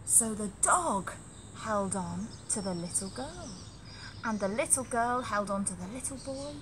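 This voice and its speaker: A woman reading a story aloud to young children in an animated, deliberate, emphatic way, as a nursery storyteller. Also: high-pitched, background noise.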